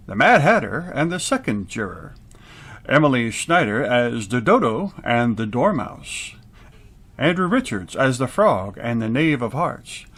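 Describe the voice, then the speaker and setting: Man, lively varied intonation, mid-pitched voice, announcer and host, presentational style. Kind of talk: announcing credits and thanks.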